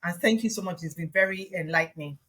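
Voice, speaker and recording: A woman with a Nigerian accent hosting an interview, speaking in a deliberate, emphatic way. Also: fairly low pitch, a bit bright, slightly rough. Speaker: host, interviewer.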